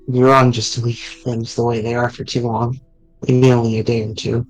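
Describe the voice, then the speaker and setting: A man's voice: medium pitch, flat delivery, moderate pace, soft background music, close to an everyday voice, rough recording. A man voicing a loyal young male friend character while reading a fan visual novel aloud on stream. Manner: casual, blunt delivery with mild swearing.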